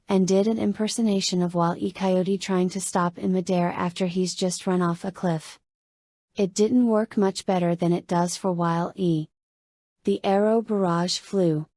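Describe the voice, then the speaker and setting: Female audiobook narrator, medium pitch, soft dark timbre, conversational first-person storytelling for a young adult adventure. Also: no echo.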